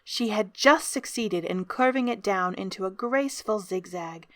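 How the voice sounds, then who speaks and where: A woman reading scripted storybook narration for an audio drama, as an expressive storyteller. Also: mid-range voice, normal speed, no echo. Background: music.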